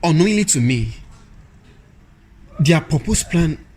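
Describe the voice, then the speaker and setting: A man with a Nigerian accent playing a devout, principled and weary officer in a radio drama, speaking in a halting, solemn way. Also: a little high, animated, a little mellow, a little hiss or hum. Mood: solemn, defiant.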